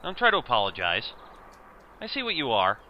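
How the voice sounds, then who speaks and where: A man's voice: mid-range voice, huge pitch swings, a little fast, dull audio, a little hiss or hum. A man acting a nerdy, bullied teenage schoolboy in an amateur audio drama, with a theatrical, wordy, pleading manner. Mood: bitter.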